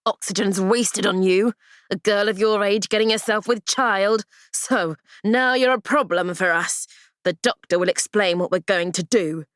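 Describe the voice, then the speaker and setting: A woman's voice: medium pitch, unhurried, smooth, no echo, pitched above the performer's own voice, close to an everyday voice. Woman doing a nasty sneering nurse, snarly, dry close sound. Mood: cold.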